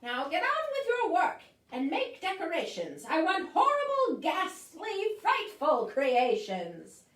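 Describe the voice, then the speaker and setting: A woman voicing a bossy, cruel female witch in a shrill, screechy voice for a storybook. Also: wildly swooping pitch, slow pace, a bit bright, roomy sound, recorded from a distance, full character voice. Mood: menacing.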